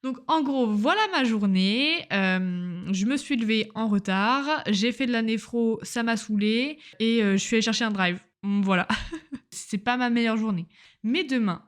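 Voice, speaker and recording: A woman's voice: fairly high pitch, measured pace. A young woman chats into a home microphone in a loose, diary-style podcast. She rambles from one thing to the next, slangy and self-mocking, with a casual everyday tone. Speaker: podcaster, medical student. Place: at home on a couch.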